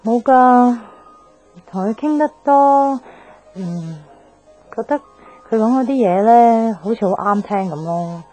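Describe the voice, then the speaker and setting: A woman's voice: on the high side, takes it slowly, pauses often, dark tone, low-quality recording. An actress playing a restless young woman in a radio drama, talking in an insistent, explaining way. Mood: wistful, insistent. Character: restless young woman friend craving a new life. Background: faint background music.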